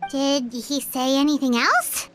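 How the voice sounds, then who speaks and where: A woman voicing a small, excitable fairy companion in a video game, with a squeaky childlike voice and exclamatory manner. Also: measured pace, faint music behind. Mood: curious, uneasy. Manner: speaks of herself in third person, exclaims often. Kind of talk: video game character dialogue.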